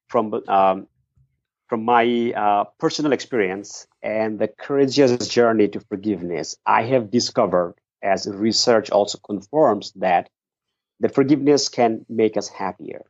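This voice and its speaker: Middle-aged guy, earnest reflective telling, lowish voice, dry muffled sound. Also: dry recording. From Bangladesh. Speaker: guest, nonprofit founder. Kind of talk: interview answers.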